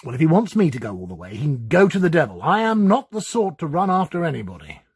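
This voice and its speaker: An actor in a dramatic reading, playing a lonely, timid, middle-aged academic who muses in a hesitant, fussy, precise way. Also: male voice, fairly high pitch, very animated, quick pace, breathy edge, exaggerated voice. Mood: defiant. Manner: hesitant, musing, theatrical, precise wording. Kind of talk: performed dramatic reading of a classic ghost story, first-person monologue.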